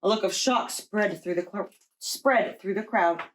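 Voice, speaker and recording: Expressive woman teacher reading to children. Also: medium pitch, noisy background. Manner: deliberate, expressive storytelling. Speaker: preschool teacher. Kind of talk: children's storybook read-aloud.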